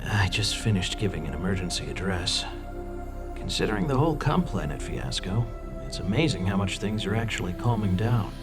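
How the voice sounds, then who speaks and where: A man's voice: sits low, expressive, fairly quick. An actor playing a scheming, manipulative male senator with a persuasive, insinuating delivery in a video game cutscene. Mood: placating. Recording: loud music under the voice.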